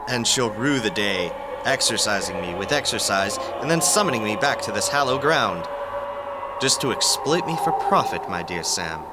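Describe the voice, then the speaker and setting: A man's voice: lowish voice, lively intonation, normal speed, natural voice. A man playing a vengeful undead horror host in campy comedy style, scheming and theatrical with formal phrasing. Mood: bitter, grandiose. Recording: loud outdoor ambient noise.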